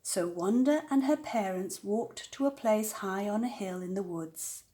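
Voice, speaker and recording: An older woman telling a children's story aloud with expressive narration. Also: medium pitch, measured pace. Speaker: storyteller, grandmother reading aloud.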